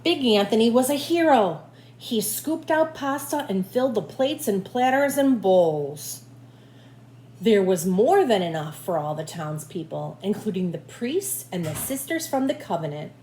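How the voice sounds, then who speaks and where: A woman's voice: mid-range voice, unhurried. Woman reading a children's story, echoey room. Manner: animated, addresses young listeners directly. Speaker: teacher reading a picture book aloud. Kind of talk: expressive children's read-aloud with asides to listeners. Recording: echoey room with faint background noise.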